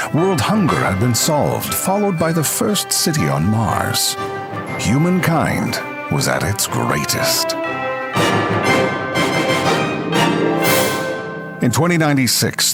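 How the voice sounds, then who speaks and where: A man playing a mock-serious narrator of a comedy space audio drama, telling it in a grand, dramatic style. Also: medium pitch. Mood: grandiose. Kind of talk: scripted comedy sci-fi narration.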